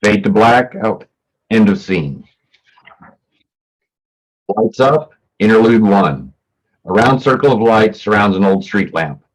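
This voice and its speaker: Low-pitched man reading stage directions. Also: takes it slowly, long pauses, poor audio. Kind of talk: scripted narration of stage directions.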